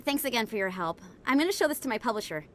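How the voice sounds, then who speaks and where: An actress playing an earnest, grateful investigative journalist in a video game. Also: female voice, a little high, sing-song, rapid-fire. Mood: grateful, eager. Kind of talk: video game dialogue acting.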